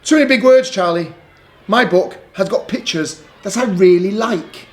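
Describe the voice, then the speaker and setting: A man voicing an excitable, insistent little girl in a storybook reading. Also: close to an everyday voice. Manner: high, eager, pleading, quick.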